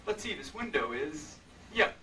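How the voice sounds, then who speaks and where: Obnoxious young male sergeant, played by an actor, badgering, sharp and swooping, radio-play stage recording. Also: fairly high pitch, very animated, moderate pace. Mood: brusque, irritated. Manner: brusque, badgering. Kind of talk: old-time radio drama acting. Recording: distant live stage recording, faint noise.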